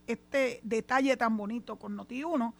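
A woman's voice: on the high side, normal speed, vocal fry. Outspoken Puerto Rican woman radio host. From Puerto Rico. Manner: outspoken, emphatic, laughs easily. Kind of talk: casual on-air radio chat. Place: radio studio.

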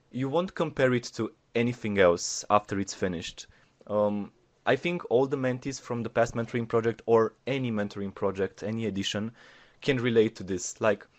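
A young man, a former mentee, talking to podcast listeners in an earnest, encouraging way with some fillers. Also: mid-range voice. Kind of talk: podcast chat addressing listeners.

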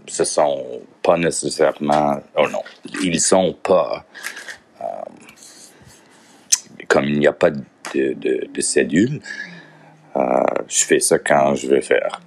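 Deep-voiced, halting man. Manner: halting, searching for words in a second language. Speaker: podcaster. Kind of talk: casual solo podcast monologue.

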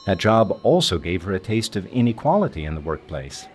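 A male narrator reads a scripted documentary. His voice sits a little low, and his intonation moves up and down in a very lively way. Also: a little fast, slight background noise. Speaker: narrator and interviewer.

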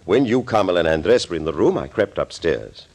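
An actor playing a suave, gloating villain doctor in an old radio thriller, urbane, theatrical and mockingly courteous. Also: male voice, low voice, sing-song, moderate pace, a little mellow, heavily acted. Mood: gleeful, boasting. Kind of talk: 1940s radio acting. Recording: old scratchy 1940s radio recording.

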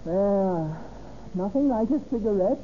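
A woman's voice: mid-range voice, expressive, measured pace, close to an everyday voice. An actress playing a cold, scheming, murderous woman in a theatrical, commanding old-time radio drama style. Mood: nervous, brooding. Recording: dull, noisy old radio recording.

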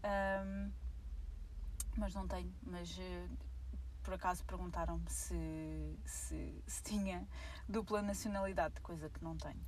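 A woman's voice: lowish voice, fairly even intonation, unhurried, a little mellow, a little hiss or hum, low-quality recording. A young woman from Portugal with a European Portuguese accent, chatting alone on her podcast in a rambling, hesitant way with many fillers. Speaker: podcast host. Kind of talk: casual solo podcast monologue.

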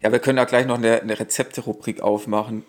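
A man with a light Swabian accent, co-hosting a podcast in a relaxed, chatty way with frequent fillers. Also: medium pitch, fast. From Swabia, Germany. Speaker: podcast co-host. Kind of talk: casual chat.

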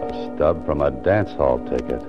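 A man's voice: a bass voice, a little slow. A man playing a terse, brooding author-detective who narrates his own case, with an American accent, in 1940s radio noir style. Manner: terse, self-questioning. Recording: muffled 1940s radio recording, music behind.

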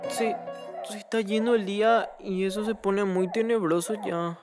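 A woman playing a timid, excitable, kind ten-year-old boy with a Paraguayan accent and hesitant speech in an audio drama. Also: mid-range voice, normal speed, over music, close to an everyday voice. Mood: nervous. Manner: hesitant, stammering starts, expressive.

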